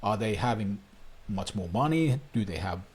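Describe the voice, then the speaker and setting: A man's voice: lowish voice, expressive, normal speed, a little mellow, breathy edge. A man from Finland, a native Finnish speaker, coaching viewers on online marketing in an earnest talking-head explainer with plain, slightly non-native phrasing.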